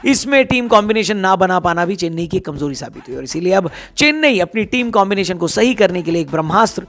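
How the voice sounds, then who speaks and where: Hoarse dude doing cricket news on his channel, talks quick and chatty, kinda high voice. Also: animated, fairly bright tone. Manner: chatty, teasing, addresses viewers directly. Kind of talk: casual sports news commentary to viewers.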